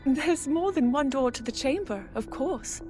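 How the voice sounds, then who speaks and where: An earnest young scholar princess from a fantasy game. Her voice is high and polite, with a matter-of-fact way of explaining things over faint music. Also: lively intonation, unhurried, a little mellow. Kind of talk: video game cutscene acting.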